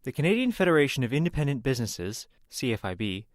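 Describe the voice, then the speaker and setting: Guy reading news script, mid-pitched voice, dry no echo. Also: big pitch swings, fast. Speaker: news reader.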